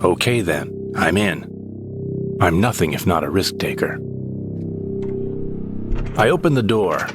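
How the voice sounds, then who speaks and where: A man's voice: deep voice, animated, slow pace, pauses often, loud music behind the voice. A man narrating a first-person audio drama story in a dramatic storytelling manner. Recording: narration over loud score.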